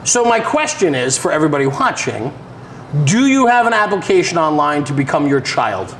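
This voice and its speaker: A man's voice: a little high, big pitch swings, normal speed, fairly bright tone, low-quality recording. A middle-aged man in casual comedic banter, teasing and questioning with mock seriousness as he co-hosts. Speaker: co-host reading viewer comments. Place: indoor garage workshop. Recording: clear background noise in a workshop.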